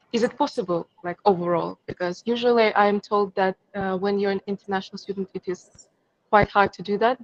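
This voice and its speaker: A woman's voice: medium pitch, no echo. A young woman in the audience, an international student, asking a tentative question. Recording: dull, muffled sound.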